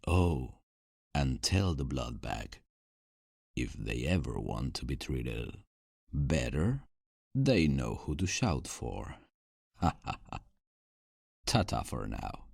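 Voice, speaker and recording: A man playing a sadistic, taunting vampire in a dark ASMR roleplay, theatrical and mock-polite. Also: extremely low pitch, very animated, drawn-out words, vocal fry, pauses often, breathy edge, no room sound, studio quality, heavily acted.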